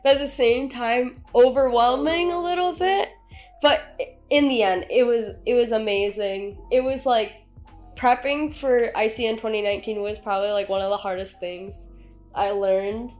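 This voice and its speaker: The high-voiced young co-host of a student podcast, a woman gushing informally over a little music. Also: normal speed, a little mellow. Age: young adult. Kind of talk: casual chat.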